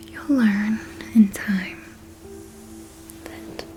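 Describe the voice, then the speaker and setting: A woman playing a seductive, remorseless immortal vampire mentor in an ASMR roleplay, speaking in a breathy whisper with deliberate pauses. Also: mid-range voice, soft background music. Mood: soothing, persuasive. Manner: slow, hushed, deliberate storytelling with pauses.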